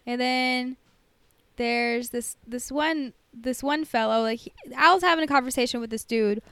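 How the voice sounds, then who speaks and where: A woman's voice: on the high side, a little slow, fairly bright tone, smooth, no room sound. A woman co-hosting a podcast in casual chat about a TV show, informal and sweary, saying "like" constantly. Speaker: podcast co-host.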